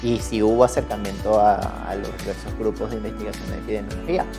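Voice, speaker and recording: A man's voice: on the low side, little pitch movement, fast, music in the background. A Venezuelan man in his thirties giving casual, rambling interview answers with frequent self-corrections. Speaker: guest researcher in mathematics.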